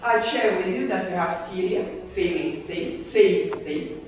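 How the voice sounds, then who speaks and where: A woman from Egypt lectures an audience in an earnest, halting way, starting over and filling pauses as she thinks. Her voice sits at a middle pitch and comes from some distance, with heavy room echo and a faint hum of ambience behind her. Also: a little mellow. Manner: earnest, lecturing, with frequent fillers and restarts. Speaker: political science professor giving a talk. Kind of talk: public talk to a seated audience.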